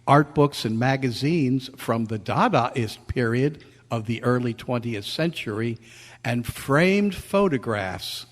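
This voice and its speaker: A man speaking as a playwright and director, presenting and narrating in a measured, earnest way. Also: medium pitch. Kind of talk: spoken introduction and slow play narration. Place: convention meeting room before an audience. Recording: echoey hall, long reverb.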